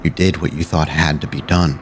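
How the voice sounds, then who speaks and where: A man acts out a dead vampire elder haunting a mirror in a roleplay game. The voice is very low and grandiose, needling with pointed questions, over faint music. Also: steady tone, a little fast. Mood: goading, grand. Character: dead vampire sire as mocking, goading mirror reflection. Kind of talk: tabletop roleplay acting.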